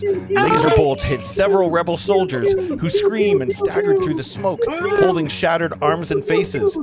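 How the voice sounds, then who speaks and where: A man narrating stage directions in a live table read of a film script, sometimes halting and stumbling over the text. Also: mid-range voice.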